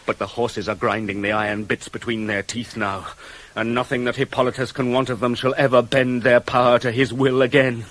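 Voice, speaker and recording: A slow, intense tragic messenger. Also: male voice, mid-range voice, fairly bright tone. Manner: measured, declamatory, intense. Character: anguished male servant messenger of ancient Greek tragedy. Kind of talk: theatrical verse recitation for radio drama.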